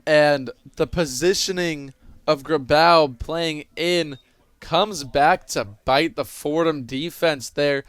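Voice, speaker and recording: A man's voice: on the high side, takes it slowly, fairly bright tone, smooth, dry recording. A man giving analytical, explanatory color commentary on a live baseball broadcast.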